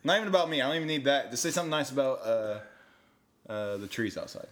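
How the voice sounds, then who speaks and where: A young man co-hosting a podcast, teasing his friend and spinning playful bits, with a soft voice. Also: medium pitch, expressive, fast talker. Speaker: podcast co-host. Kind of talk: casual riffing chat.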